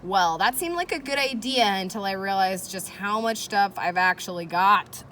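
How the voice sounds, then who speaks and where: A woman's voice: medium pitch, moderate pace, bright, forceful tone. A woman teacher reading a children's chapter book aloud with casual, encouraging asides and questions to her listeners, with background noise. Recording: noisy background, kids playing nearby. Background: ambient noise of children playing.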